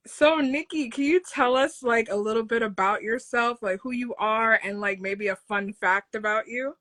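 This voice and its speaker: A woman's voice: on the high side, normal speed, a bit bright. A woman hosting a live video chat, upbeat, with frequent fillers and laughs. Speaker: host. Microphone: video call.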